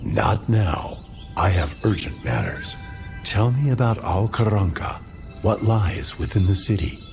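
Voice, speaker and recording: A grave courteous healer traveler in a game, really low voice, formal, swings up and down a lot. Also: male voice, sing-song, gravelly, music in the background, exaggerated voice. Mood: focused, formal. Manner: formal, measured phrasing. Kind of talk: video game cutscene acting.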